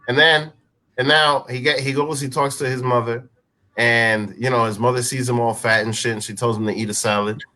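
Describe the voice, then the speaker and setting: A man on a film review panel debating casually and insistently, halting and repeating his phrases as he makes his point. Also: medium pitch, fairly even intonation, bright, forceful tone.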